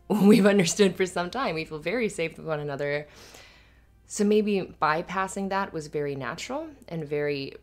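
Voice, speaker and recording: A woman playing an affectionate, earnest, slightly anxious live-in girlfriend in a conversational, rambling, hesitant ASMR roleplay. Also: low-pitched. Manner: rambling, hesitant, giggly asides. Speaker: audio roleplay performer.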